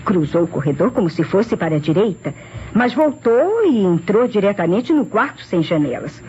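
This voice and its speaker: Actress as nervous, highly animated witness. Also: female voice, moderate pace, natural voice. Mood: dramatic, emphatic. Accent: Brazilian. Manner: theatrical, hesitant, emphatic. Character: nervous, dramatic female guest witness at a mystery. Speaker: actress in a radio mystery serial. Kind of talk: old Brazilian radio drama acting. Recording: muffled, noisy old radio recording.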